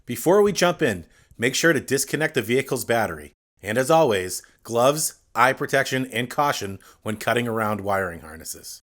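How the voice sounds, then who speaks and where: A man reading a scripted step-by-step tutorial, addressing the viewer directly in a clear instructional manner. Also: medium pitch, a bit bright. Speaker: instructional video narrator.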